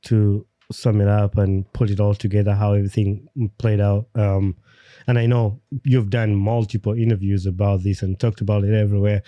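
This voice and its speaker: A man hosting an interview podcast, supportive and chatty, with frequent short affirmations and halting restarts. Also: fairly low pitch, level tone, dark tone, no echo, dull audio. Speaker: podcast host. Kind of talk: casual interview chat.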